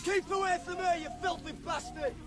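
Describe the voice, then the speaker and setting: An actor playing a cruel, mocking young bully with a Northern English accent in a naturalistic radio drama. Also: male voice, extremely high pitch, fairly even intonation, normal speed, loud music behind the voice. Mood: aggressive. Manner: jeering, coarse, commanding.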